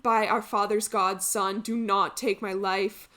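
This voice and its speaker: A woman playing a betrayed, wretched male exile in a Greek tragedy monologue, declaiming archaic lines in drawn-out anguish. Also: medium pitch, flat delivery, pushed and bright. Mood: anguished, pleading. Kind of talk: theatrical classical tragedy monologue.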